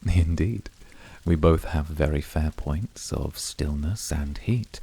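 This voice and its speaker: A man voicing a composed, poetic, devoted incubus in a flirty ASMR roleplay, speaking formally in a measured, seductive, often whispery way with a raspy, breathy voice. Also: extremely low pitch, dark tone, heavily acted. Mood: composed, coy. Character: composed, poetic, devoted male incubus of calm, icy temper.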